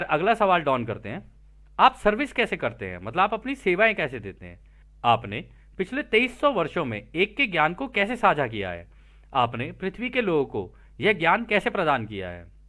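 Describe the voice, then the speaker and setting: A man's voice: on the high side, huge pitch swings, normal speed, sharp tone. A man teaching spiritual material, reading aloud and explaining it informally, checking in with listeners through rhetorical questions.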